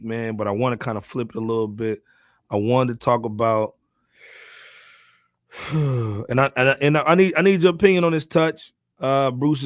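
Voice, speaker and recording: A man's voice: medium pitch, dry recording. Slangy male podcast host, dry close sound. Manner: slangy, animated asides, frequently says "man". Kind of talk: casual hip hop discussion.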